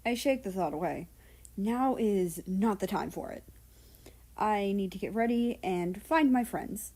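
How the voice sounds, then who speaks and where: A woman narrates a piece of fan fiction as an amateur audiobook reader. Her pitch sits in the middle for a woman, and she takes the text slowly, with expressive delivery.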